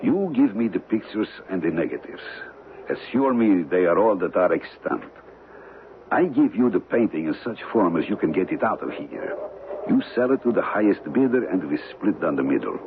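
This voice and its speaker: A man playing a cold, conscienceless criminal in a radio drama, speaking calmly and precisely with formal menace. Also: sits low, normal speed. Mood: cold, demanding. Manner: calm, formal, precise, threatening. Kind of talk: old-time radio drama acting. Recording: noisy muffled old radio broadcast.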